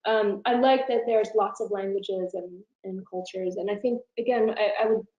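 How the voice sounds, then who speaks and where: A woman's voice: medium pitch, steady tone, normal speed, warm tone, highs cut off. A woman professor speaking as an interview guest, giving thoughtful, informal answers in a conversational way and often rewording as she goes. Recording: roomy, echoing room sound.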